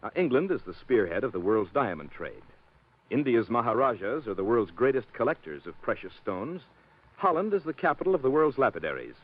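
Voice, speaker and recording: A cool, sharp-witted detective in a vintage radio drama. He speaks in a measured, cutting way, and his pitch moves a lot. The sound is tinny and narrow, like it is coming over a phone line. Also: sing-song, slightly rough. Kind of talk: 1940s radio acting. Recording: tinny old radio broadcast.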